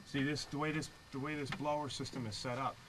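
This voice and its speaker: A man's voice: mid-range voice, fast. A man casually showing off his radio amplifier and explaining step by step how it works. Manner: relaxed, explains how things work step by step. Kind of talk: casual technical chat. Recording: noisy background.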